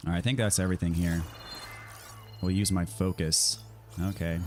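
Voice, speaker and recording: A man with an American accent chatting casually to viewers while he plays a video game, his voice a little raspy from a scratchy throat. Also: deep voice, little pitch movement, moderate pace, soft background music. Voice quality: slightly raspy. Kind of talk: casual livestream chat answering viewers while gaming.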